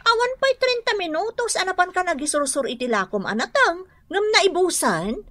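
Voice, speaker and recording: Casting: young Filipino wife, high bright voice, sing-song, exclamatory radio comedy delivery. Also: female voice, very animated, normal speed, heavily acted. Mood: suspicious. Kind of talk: radio comedy drama acting.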